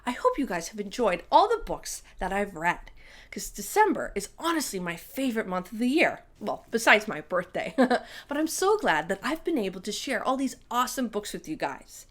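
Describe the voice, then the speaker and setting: A woman's voice: medium pitch, big pitch swings, moderate pace, fairly bright tone. A woman hosting a children's story time, reading a storybook and addressing young listeners directly with exclamations.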